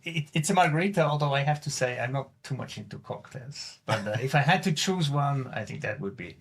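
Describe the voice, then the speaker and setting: A man's voice: medium pitch, animated, fairly quick, creaky, a bit bright, breathy edge. A middle-aged man with a Swiss accent, a guest in a casual interview, speaking thoughtfully with frequent restarts and repeated words. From Switzerland. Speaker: guest climate scientist.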